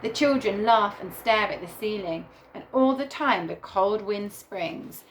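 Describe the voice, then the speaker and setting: A woman teacher reading a picture book aloud to young children in a deliberate, animated storytelling way. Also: mid-range voice, a little hiss or hum, rough recording. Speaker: primary school teacher reading to her class.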